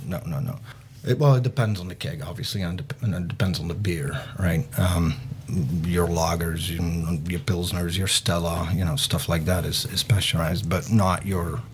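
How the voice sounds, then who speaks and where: A man's voice: deep voice, steady tone, normal speed, slight background noise. A man from Belgium, a podcast guest and beer expert, chatting casually with many restarts and repeated words. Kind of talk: casual chat.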